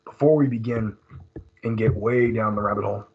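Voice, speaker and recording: A hoarse man, leading a recorded teacher training seminar in a conversational way, with many fillers and restarts. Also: fairly low pitch, fairly even intonation, fairly quick. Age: 30s. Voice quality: raspy. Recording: dull lo-fi screen recording.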